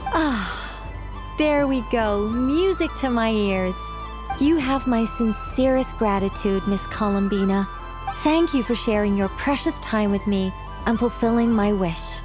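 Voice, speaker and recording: A woman's voice: fairly high pitch, measured pace, lightly acted. A woman voicing a proud, needy, whimsical ancient mage in an anime game, theatrical, chatty and self-conscious. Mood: gratified. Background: music.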